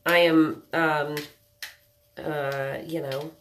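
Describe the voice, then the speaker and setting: Low nasal woman with a cold. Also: flat delivery, very slow, a bit bright, slight background noise. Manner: chatty, joking, greets viewers by name. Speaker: makeup livestream host. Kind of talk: casual live makeup tutorial chat. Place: small home room indoors.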